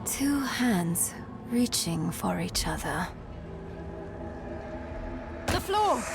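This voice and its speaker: Grief-worn young woman, slow and solemn. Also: medium pitch, big pitch swings, creaky, exaggerated voice. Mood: searching, tense. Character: grieving, weary young woman adventurer haunted by loss. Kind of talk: dramatic video game acting. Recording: music under the voice.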